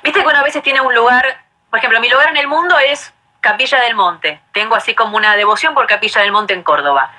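A woman's voice: a little high, fast, sharp tone. An Argentine woman hosting a conversational interview in a chatty, effusive manner. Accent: Argentine Spanish. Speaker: interviewer, host.

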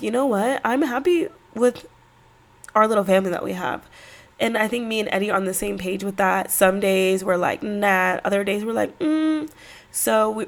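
Stuffy-nosed woman with a gravelly edge. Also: mid-range voice. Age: 30s. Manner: chatty, rambling, slangy, laughs between thoughts. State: stuffy from a cold. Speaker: podcast host. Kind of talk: casual solo podcast chat.

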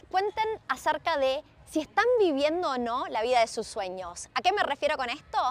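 Woman podcast host, high-pitched, brisk street interviewer at an outdoor festival.

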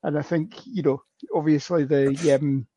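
A man's voice: on the high side, steady tone, unhurried, warm tone, husky, no room sound. A man co-hosting a fan podcast, talking football in a rambling, casual way with many fillers. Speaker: podcast co-host. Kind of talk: casual sports chat.

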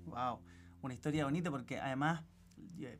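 A man's voice: mid-range voice, fairly quick. A man with a Chilean accent hosting a podcast, friendly and enthusiastic as he greets and questions his guest. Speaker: podcast host. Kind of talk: podcast interview. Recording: podcast with guitar music behind.

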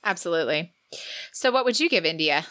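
A woman's voice: lowish voice, expressive, brisk, fairly bright tone, dry recording. A woman co-hosting a book podcast, chatting casually and with effusive enthusiasm. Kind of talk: casual book discussion chat.

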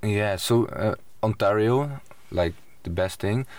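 A man's voice: fairly low pitch, slow, darkish tone, no room sound. A man with a Dutch accent, a native Dutch speaker, talking casually as an interview guest. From Netherlands. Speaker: interview guest, van traveler. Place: inside a camper van. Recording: slightly distant microphone.

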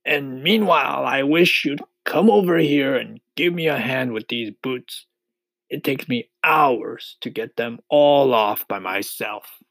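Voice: mid-range voice, takes it slowly, fairly bright tone, no room sound, voice pushed up. A performer voicing a boastful, cheeky male centipede in a storybook, bragging and teasing. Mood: jovial.

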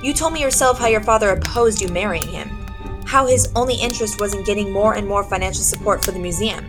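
A woman playing a sharp, accusing detective in a 1940s-style radio drama, commanding and sardonic as she interrogates. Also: medium pitch, quick pace, close to an everyday voice. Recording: dramatic music behind the voice.

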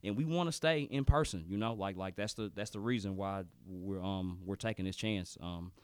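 A man's voice: sits low, normal speed, clean tone, no echo. A man in his late thirties co-hosting a podcast in casual chat, laid-back, with lots of "man" and "you know" and hesitant restarts. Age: 30s. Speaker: podcast co-host.

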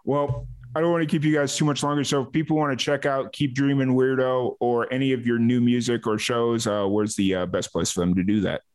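Casual male interview host, halting delivery. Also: mid-range voice, level tone. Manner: halting, repeats words.

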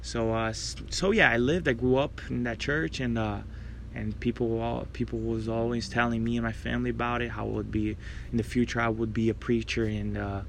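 A young man talks through a personal faith testimony as the host of his own podcast. His voice carries a gravelly fry at mid pitch. He sounds earnest and reflective, and grateful. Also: flat delivery, a little fast, a little hiss or hum. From Brazil.

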